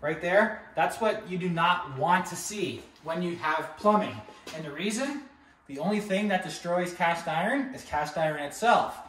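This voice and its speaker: A man's voice: high voice, expressive, moderate pace, a bit bright. A young man from Canada, a real estate investor, walks viewers through his renovation. He keeps calling them guys, upbeat and chatty, in an empty apartment with the camera a few steps away. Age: young adult. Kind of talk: walkthrough tour talking to camera. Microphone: camera held by another person, a few steps away. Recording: echoey empty room, mic at a distance.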